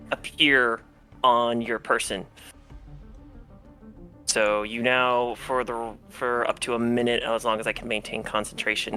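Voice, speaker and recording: Tabletop player, bright mid-pitched voice, halting casual game talk, faint music underneath. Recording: music behind the voice. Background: background music.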